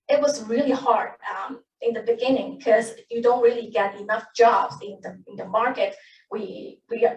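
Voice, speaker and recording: A woman's voice: a little high, dull audio. A woman in her thirties, an English speaker, telling the story of how she became a medical interpreter at a panel, with many restarts and self-corrections. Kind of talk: personal account at a panel. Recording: echoey room, distant mic, faint noise.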